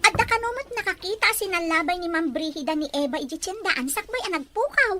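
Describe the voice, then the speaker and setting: An agitated, scheming woman of radio melodrama, acted by a woman, speaks with an Ilocano accent. Her voice sits extremely high and swings dramatically up and down. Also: animated, a little fast, loud music behind the voice. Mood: gossipy, urgent.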